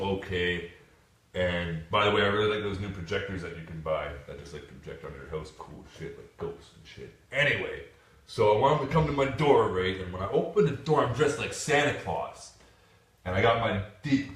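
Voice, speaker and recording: A man's voice: fairly low pitch, a bit bright. A man hosting a casual podcast, rambling and swearing, laughing often. He is from Canada. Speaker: podcast host. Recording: roomy echo, distant mic.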